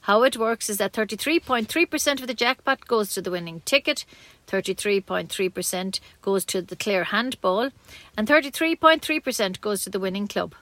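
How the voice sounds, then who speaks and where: A woman reading a local community radio news bulletin in a measured, friendly way, with small slips now and then. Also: mid-range voice, lively intonation, fairly bright tone, dry recording. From Ireland.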